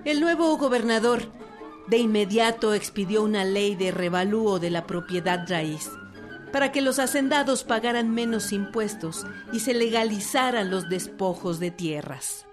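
Casting: female Mexican narrator, mid-range pitch, scripted historical radio delivery, clear music bed underneath. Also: normal speed.

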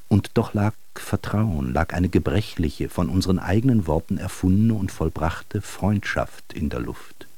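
An actor playing a brooding, world-weary narrator in a literary radio drama, telling his story gravely and deliberately. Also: deep voice, a little mellow, no room sound.

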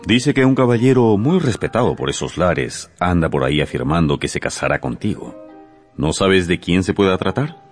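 An actor playing a teasing, affectionate old father in a Spanish-language radio drama, animated and playful. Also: low voice, moderate pace, faint music behind. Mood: teasing, probing.

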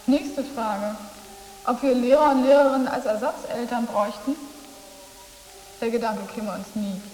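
A woman's voice: a little high, moderate pace, warm tone, soft background music, natural voice, poor audio. A woman playing a cool, sarcastic teenage boarding school girl with a dry, mocking delivery in a radio drama. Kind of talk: German literary radio drama.

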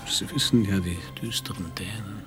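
A man's voice: fairly low pitch, steady tone, normal speed, over music, natural voice. An actor in a German radio play voicing a bitter, grieving Danish man, brooding, weary and sardonic. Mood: gloomy, subdued. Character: bitter, grieving Danish man whose dog was stolen.

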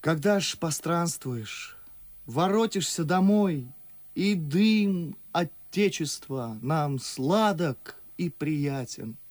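An actor playing a witty, talkative, mocking young gentleman in an ardent, ironic verse radio drama. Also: on the high side, animated, takes it slowly, no echo. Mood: ironic, lively. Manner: ardent, ironic, theatrical declamation. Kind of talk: Russian classical verse radio drama acting.

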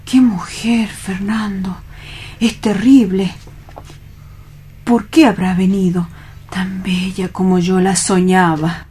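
Woman as timid male romantic, Spanish accent from Spain, very breathy and husky, slow anxious delivery, radio play. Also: medium pitch, fairly even intonation, dark tone. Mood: wistful awe. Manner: hesitant, anxious, plaintive. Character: timid, dreamy male bank clerk afraid of real love. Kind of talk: radio theatre reading.

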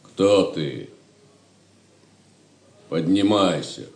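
An actor playing a gruff, dour, plain-spoken medieval man in an audio drama. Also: sits low, animated, extremely slow, dry recording, full character voice. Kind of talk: theatrical audio drama acting.

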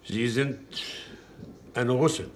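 An actor playing a weary, formal delegate in a 1960s German-language radio drama, heavy and deliberate. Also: male voice, medium pitch, natural voice. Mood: grave. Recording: slightly noisy 1960s radio production.

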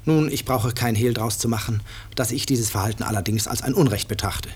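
A man playing an author who addresses listeners in measured, deliberate, dryly ironic radio-play narration. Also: medium pitch, lightly acted. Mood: reflective. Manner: measured, deliberate, dry irony. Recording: slightly noisy 1950s radio recording.

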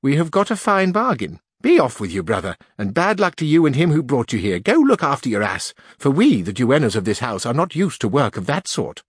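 A man voicing an indignant, sharp-tongued old woman duenna in an audiobook reading. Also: very animated, brisk, hardly any pauses, husky, dry recording, clean studio sound. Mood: scornful. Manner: shrill, scolding.

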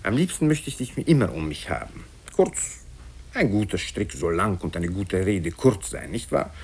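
A man voicing a gleeful, sly provincial governor in a radio story, chuckling and excitable, affectionate in manner. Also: sits low, moderate pace, slightly rough, exaggerated voice. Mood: chuckling delight. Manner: chuckling, excitable, swooping delivery. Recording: hissy old radio recording from cassette.

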